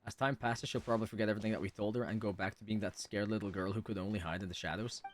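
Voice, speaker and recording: A man's voice: lowish voice, fairly even intonation, very fast, a little hiss or hum. A man giving casual live commentary as a game streamer. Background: game music.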